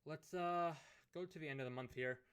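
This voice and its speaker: A man with a hoarse, fading voice, thinking aloud as he commentates a hockey management game. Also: fairly high pitch, fairly bright tone. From Canada. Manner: musing aloud, unhurried, thinking through choices. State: hoarse, losing his voice. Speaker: gaming commentator. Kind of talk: let's play commentary of a management sim.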